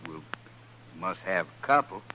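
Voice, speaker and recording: Ingratiating frontier cowhand, folksy drawl, low but bright forceful tone, old radio hiss. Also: male voice, expressive, unhurried, full character voice, rough recording. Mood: uneasy, ingratiating. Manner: folksy, drawling rural Western speech. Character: shifty, ingratiating male cowhand dodging the law. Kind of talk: 1950s radio western acting.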